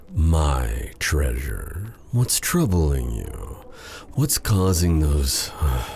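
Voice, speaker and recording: A man playing a proud but tender dark sorcerer in an intimate ASMR roleplay drama, speaking with halting, theatrical courtliness in a breathy, gravelly voice. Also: extremely low pitch, a little hiss or hum, exaggerated voice. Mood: tender, soothing.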